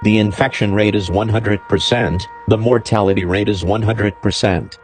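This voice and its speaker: A man's voice: fairly low pitch, steady tone, moderate pace, a little hiss or hum. A man delivering a scripted emergency broadcast announcement in a formal, terse, official manner.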